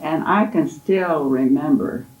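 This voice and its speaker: Woman in her 80s for an oral-history interview, gentle and fond, recorded in a roomy library. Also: low voice, phone-quality sound. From Kansas, USA. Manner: gentle, unhurried, fond storytelling with soft laughs. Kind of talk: reminiscing interview. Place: college library. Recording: echoey library room.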